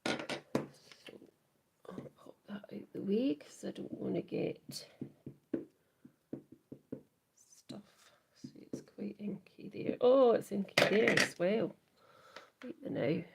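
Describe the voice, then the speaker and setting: A Scottish woman hosting a live crafting demo, chatting softly to viewers and often trailing into whispers as she works. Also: mid-range voice, takes it slowly, gravelly, pauses often, very noisy. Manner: soft, often trailing into whispers while working. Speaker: craft livestream host.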